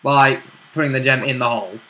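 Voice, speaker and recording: A man's voice: mid-range voice, steady tone, fairly quick, sharp tone. A man chatting casually as a tabletop game player, bantering and interjecting. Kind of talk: casual chat.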